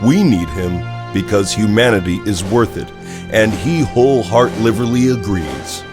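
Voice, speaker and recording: Slow, solemn campaign ad announcer played by a man. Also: lowish voice, expressive, over music. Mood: solemn, proud. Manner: grand, earnest. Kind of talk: political ad narration in audio drama.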